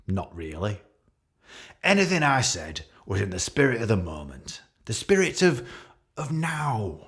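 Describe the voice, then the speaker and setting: Pompous British police boss, swooping delivery. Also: male voice, fairly low pitch, sing-song, fairly bright tone, exaggerated voice. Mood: defensive, scornful. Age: middle-aged. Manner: pompous, passive-aggressive, self-justifying, halting. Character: self-pitying, pompous middle-aged male senior police officer giving a speech. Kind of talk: radio play monologue.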